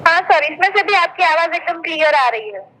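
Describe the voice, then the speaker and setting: A woman's voice: very high-pitched, animated, fairly quick, sharp tone, low-quality recording. A woman giving short, deferential radio check replies over a walkie-talkie. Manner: deferential, calls the listener sir. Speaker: test assistant replying over walkie-talkie.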